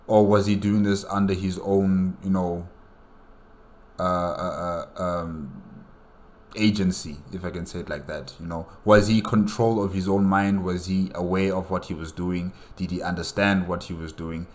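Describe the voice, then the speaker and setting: A man's voice: low-pitched, fairly even intonation, a little slow. A man giving casual reaction commentary in a musing, hesitant way, often putting questions to himself. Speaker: host reacting to a game movie.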